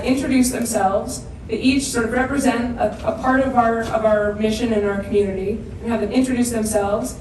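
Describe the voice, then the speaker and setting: A woman's voice: mid-range voice, a little mellow, slight background noise. A woman introducing speakers at an event on a handheld microphone, hesitating with many fillers. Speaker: event host. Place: large indoor event space. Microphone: handheld microphone, heard from far off. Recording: very echoey hall from far off.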